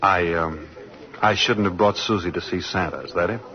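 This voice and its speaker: A man playing an easygoing, apologetic gentleman in a 1940s radio drama, mild, polite and a little hesitant. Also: low voice, normal speed, lightly acted. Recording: muffled vintage 1940s radio broadcast.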